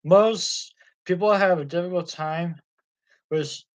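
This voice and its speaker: A man's voice: high voice, takes it slowly, rough recording. A man reading a biography aloud, in a plain way with occasional slips. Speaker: audiobook reader.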